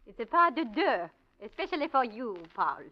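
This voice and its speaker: A woman's voice: fairly high pitch, sing-song, normal speed. An actress playing a cutting, domineering female choreographer in an old radio drama. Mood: icy. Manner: crisp, cutting, commanding. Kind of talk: 1940s radio acting. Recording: muffled old radio recording, faint hiss.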